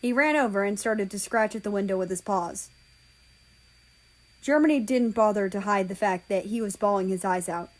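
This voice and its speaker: A woman's voice: medium pitch, moderate pace. A woman reading a story aloud as scripted narration, an amateur audiobook reader.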